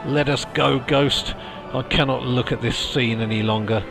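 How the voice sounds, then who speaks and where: A man voicing a frightened, anguished old miser in a dramatised storybook reading, with halting, pleading speech. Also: medium pitch, steady tone, creaky, close to an everyday voice. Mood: distressed. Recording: music behind the voice.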